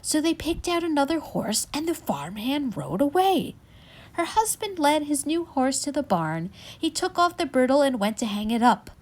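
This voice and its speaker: A woman reading spooky folk tales aloud as a story time reader, with dramatic, deliberate delivery. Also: sits high, very animated.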